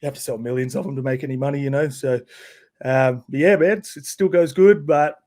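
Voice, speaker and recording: A man's voice: mid-range voice, fairly even intonation, fairly quick, mellow. A young Australian man, a podcast guest, chatting in a laid-back, slangy way and laughing often. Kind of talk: casual chat.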